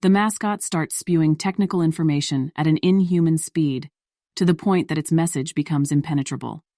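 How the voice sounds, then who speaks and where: Low-voiced woman narrating a story. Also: fairly quick, warm tone, no room sound, studio quality.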